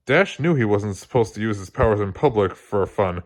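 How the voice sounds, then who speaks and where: A man narrating a children's story aloud in an expressive read-along style. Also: fairly low pitch, normal speed. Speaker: storybook reader.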